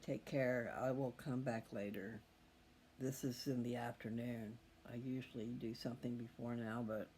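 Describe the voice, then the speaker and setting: A man's voice: medium pitch, darkish tone. A man chatting casually to his viewers in a folksy, meandering way, thinking aloud as he goes. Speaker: host of a scripture reading channel. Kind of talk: rambling casual chat to viewers. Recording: faint background noise.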